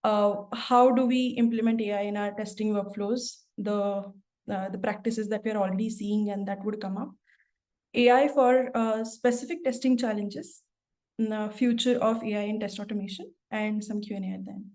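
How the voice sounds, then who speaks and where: A woman's voice: mid-range voice, steady tone, normal speed, a little mellow. A woman hosting a work webinar, talking with frequent fillers and restarts. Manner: hesitant, many fillers and restarts. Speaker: webinar host, product manager. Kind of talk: webinar moderation.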